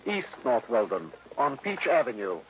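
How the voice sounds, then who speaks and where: Old furniture maker, prim and stiffly formal, old radio show, tinny with clear noise. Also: male voice, mid-range voice, big pitch swings, normal speed. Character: proper, fussy old male furniture craftsman, easily shocked. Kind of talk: 1950s radio drama acting. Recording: noisy, narrow old radio recording.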